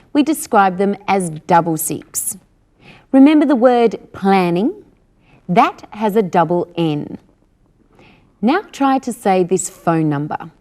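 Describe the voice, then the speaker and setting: A woman's voice: mid-range voice, mellow. A woman presenting a scripted English lesson with careful, instructional delivery. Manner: didactic, careful articulation.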